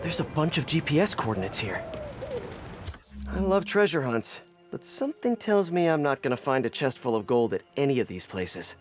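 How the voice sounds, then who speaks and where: A man's voice: medium pitch, big pitch swings, moderate pace, soft background music, close to an everyday voice. An actor playing a young, quippy superhero investigator with an American accent, earnest and wry as he thinks aloud in a video game. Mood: wry, musing. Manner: conversational, wry, muses to himself. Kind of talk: video game voice acting, monologue.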